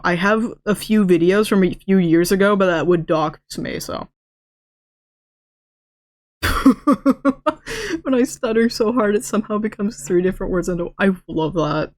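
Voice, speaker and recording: Chatty woman with a lisp. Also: medium pitch. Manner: stutters and restarts words mid-sentence; chatty, rambling, laughs often. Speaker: streamer. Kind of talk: casual live-stream chat.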